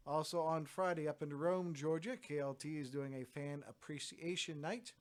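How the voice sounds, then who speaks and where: A man hosting his own weekend events roundup from home, chatty and relaxed, with plenty of filler words and dry little asides. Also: a little high, moderate pace. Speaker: host of a wrestling events roundup show. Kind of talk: casual solo roundup, talking to camera.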